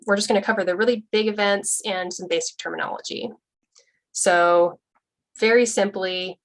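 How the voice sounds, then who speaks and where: A woman's voice: lowish voice, a bit bright, rough recording. A young woman giving an informal, earnest presentation at a church forum, with frequent ums and restarts.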